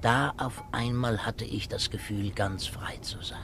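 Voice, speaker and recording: An actor playing a dreamy, rapturous small clerk aboard a ship in a 1950s radio drama, musing lyrically in awe. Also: male voice, medium pitch, fairly even intonation, measured pace, gravelly, in a whisper. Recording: very noisy old radio recording, ambience.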